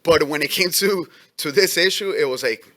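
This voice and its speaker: A man who says he is an immigrant with an accent, a letter carrier giving earnest, halting testimony at a public hearing. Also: on the high side, sharp tone. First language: not English. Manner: earnest, halting, many restarts.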